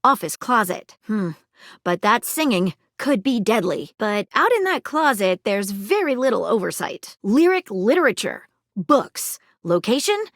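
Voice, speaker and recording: A woman's voice: on the high side, big pitch swings, a little slow, fairly bright tone, no echo, clean studio sound, heavily acted. A woman voicing an eager, prim detective magnifying glass in a video game, with arch, wordy, old-fashioned sleuth talk and a suspicious, wry temper. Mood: wry, helpful. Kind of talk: theatrical video game character acting.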